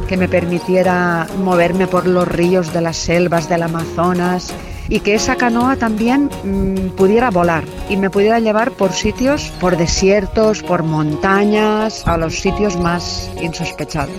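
A person giving a reflective, imaginative monologue in musing, list-like phrases. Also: mid-range voice.

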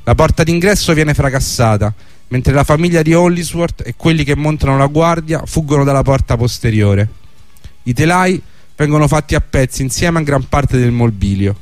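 A man's voice: a little high, animated, moderate pace, no echo, soft background music. A man reading a scripted historical narration for a radio drama.

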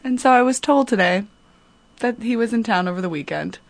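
A woman's voice: fairly high pitch, lively intonation, a little fast, no echo. Chatty young woman gossiping on radio. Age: young adult. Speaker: radio co-host. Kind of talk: casual studio banter.

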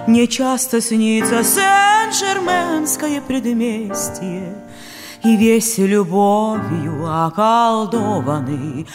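A woman's voice: on the high side, lively intonation, drawn-out words, over music. A woman playing a haughty, melancholy film diva in a musical operetta, singing theatrically and wistfully. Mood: wistful, dreamy. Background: prominent musical accompaniment.

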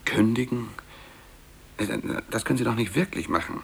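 A man's voice: medium pitch, normal speed, creaky, breathy edge. A man acting a naive, well-meaning young office colleague in a 1950s German radio play, earnest and a little hesitant. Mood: hesitant, concerned. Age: young adult.

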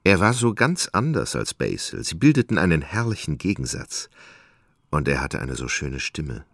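Extrem tiefer, knarrender Erzähler. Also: male voice, extremely low pitch, very animated, moderate pace, vocal fry, fairly bright tone, no echo, studio quality. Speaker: narrator. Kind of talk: scripted literary narration for a radio play.

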